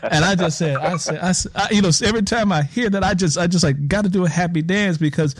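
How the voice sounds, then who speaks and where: A man's voice: sits high, fast. A middle-aged man hosting an interview in a casual, enthusiastic way, often repeating phrases for emphasis. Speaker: podcast interview host.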